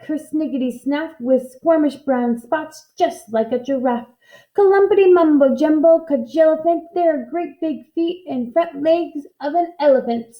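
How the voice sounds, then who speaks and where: A woman's voice: echoey room, muffled recording. A woman voicing a gleeful, boastful little old witch from a storybook, screechy and theatrical. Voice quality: high, screechy. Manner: theatrical, chanting nonsense rhymes. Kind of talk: storybook character voice.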